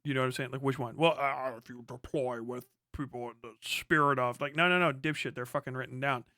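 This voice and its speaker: A man's voice: mid-range voice, fairly even intonation, brisk, pushed and bright, dry recording, studio quality. A man giving casual, sweary live commentary as a stream host, blunt and scornful in a slangy way. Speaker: livestream host reacting to a debate.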